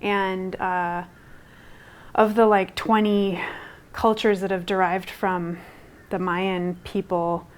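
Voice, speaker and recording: A woman's voice: medium pitch, level tone, slow. A young woman textile artist from Guatemala whose first language was Spanish, speaking casually in an interview, with lots of fillers and restarts. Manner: rambling, many fillers and restarts.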